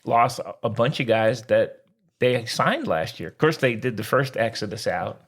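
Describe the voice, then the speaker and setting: A man's voice: medium pitch, normal speed, fairly bright tone, slightly rough. A man co-hosting a sports podcast, talking in casual, slangy banter with a sarcastic, jokey, exclamatory manner.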